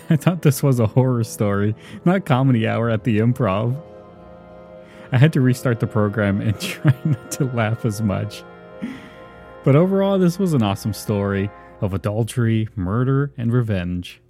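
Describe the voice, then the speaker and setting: A man's voice: mid-range voice, lively intonation, normal speed, dark tone. A man hosting a podcast with casual, conversational commentary. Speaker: podcast host. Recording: music behind the voice. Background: faint music.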